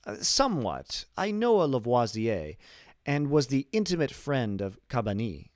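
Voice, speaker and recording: A man voicing a learned, principled old imprisoned abbé in a deliberate, formal, lecturing manner for an audiobook. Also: mid-range voice, big pitch swings, no echo, studio quality, close to an everyday voice. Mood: proud, scholarly. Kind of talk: audiobook character reading.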